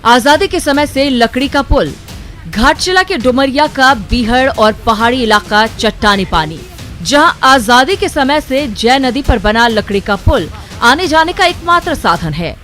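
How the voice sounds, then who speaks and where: Woman, news narration, raised pitch, scripted report, prominent music bed. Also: lively intonation, measured pace. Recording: loud music bed under voice.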